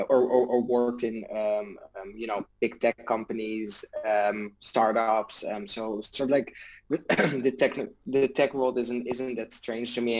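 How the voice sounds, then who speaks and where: Man from the Netherlands, medium pitch, halting, repeats words, casual interview guest. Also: a little mellow, poor audio. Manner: hesitant, repeats words often. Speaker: interview guest, tech startup founder. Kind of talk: casual conversational explanation.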